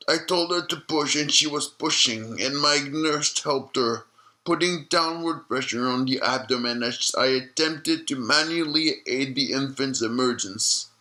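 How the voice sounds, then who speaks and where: An actor playing an elderly doctor recalling a grim memory in slow, deliberate testimony for an audio-log drama. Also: male voice, medium pitch, sharp tone, low-quality recording. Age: older. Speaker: retired obstetrician being interviewed.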